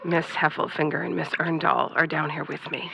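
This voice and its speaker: A woman playing a solemn astronomer professor, speaking in a formal, measured way in a comedic old-time radio drama. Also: low voice, fairly even intonation, creaky, pushed and bright, slightly rough, exaggerated voice, poor audio. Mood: grave. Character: solemn female astronomer professor, grave eyewitness.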